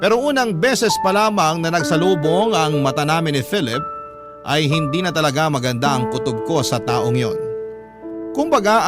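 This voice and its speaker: An actor playing an insecure, suspicious young Filipino lover, narrating a radio drama story in a confiding, brooding, emphatic way. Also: male voice, a little high, lightly acted. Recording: loud music bed under narration. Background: loud instrumental music.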